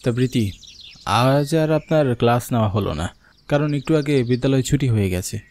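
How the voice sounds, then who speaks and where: A man's voice: medium pitch, brisk, a little mellow, lightly acted. A man voicing a young schoolmaster in a horror cartoon who also narrates the story, with an earnest, anxious manner. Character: young adult male schoolmaster, earnest nephew.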